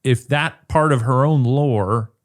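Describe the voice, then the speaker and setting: A man co-hosting a comedy podcast, musing and joking in casual banter, quick to laugh. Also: medium pitch. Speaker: co-host.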